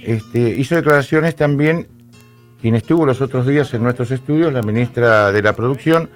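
A man's voice: mid-range voice, moderate pace, faint music behind. A man hosting a radio news segment in a studio, paraphrasing statements and leaning on fillers.

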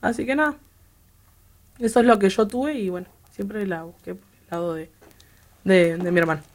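A woman's voice: medium pitch, moderate pace. A young woman, chatting as a guest about her family and dance, laughing often and restarting her sentences. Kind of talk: casual chat.

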